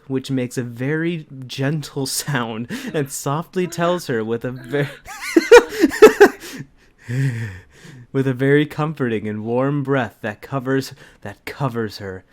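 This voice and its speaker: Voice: medium pitch, slow pace. A person giving a comedic dramatic reading in a giggly, sarcastic manner, breaking off to comment. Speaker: co-reader and commentator. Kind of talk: comedic dramatic reading of fan fiction with banter.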